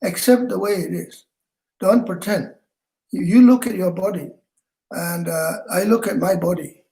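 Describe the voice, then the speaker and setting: An older man giving a meditation talk, speaking slowly with many pauses, fillers and restarts, over a home video call. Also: sits high, gravelly, warm tone. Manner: halting, with frequent fillers and restarts. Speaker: meditation teacher. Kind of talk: dharma talk.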